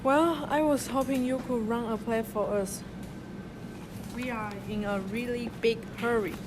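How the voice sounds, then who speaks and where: Impatient young rabbit officer, acted by a girl; slightly high, deliberate pace, noisy take. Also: fairly even intonation, natural voice. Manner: hurried, urgent, impatient. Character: eager impatient young female rabbit cop. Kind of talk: student radio drama.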